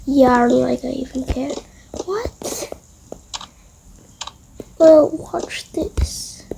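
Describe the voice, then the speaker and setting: A woman's voice: high voice, drawn-out words, gravelly, warm tone, a little hiss or hum. A female voice improvising a roleplay with toy game characters, slangy and drawling, with sighs. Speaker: roleplay video creator voicing toy characters.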